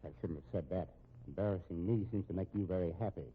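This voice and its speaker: Actor as a low-voiced, guarded husband. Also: male voice, fast talker, slight background noise, close to an everyday voice. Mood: bitter, pointed. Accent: American. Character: respectable, anxious, shrewd husband held hostage at home. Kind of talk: 1950s radio drama acting. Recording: muffled, tinny old radio recording.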